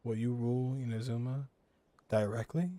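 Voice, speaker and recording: Casual male gamer, mid-pitched voice. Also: fairly even intonation, moderate pace, mellow. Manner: casual, slangy, reacting. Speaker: game streamer. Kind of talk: casual let's play commentary. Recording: game music behind the voice. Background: video game music.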